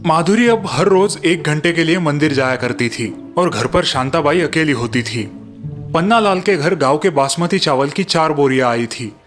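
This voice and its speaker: A man's voice: a little high, animated, moderate pace, a bit bright, faint music behind. A man reading scripted narration for a cartoon story.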